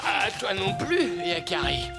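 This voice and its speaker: A performer playing a self-conscious young creature in a French animated series. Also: mid-range voice, slow pace, creaky, exaggerated voice. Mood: dejected. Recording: loud music bed behind voice.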